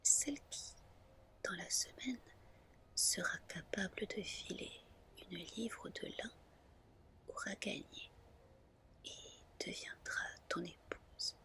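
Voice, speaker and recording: A woman's voice: medium pitch, lively intonation, takes it slowly, pauses often, pushed and bright, heavily acted. A woman voicing a composed, authoritative queen mother in a whispered storybook reading. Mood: encouraging, commanding. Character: commanding queen mother advising her son.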